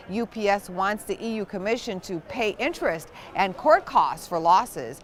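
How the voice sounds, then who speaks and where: A woman presenting scripted business news as a programme anchor. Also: medium pitch.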